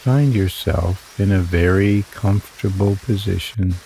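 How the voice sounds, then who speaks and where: Slow, deep-voiced male meditation guide. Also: mellow, a little hiss or hum, low-quality recording. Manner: slow, soothing, drawn-out phrases.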